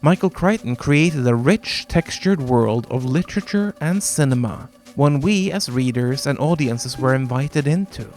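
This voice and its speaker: A man whose first language is Norwegian, hosting a video essay in a measured, wry scripted narration with dramatic pauses. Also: mid-range voice, expressive, darkish tone, soft background music. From Norway.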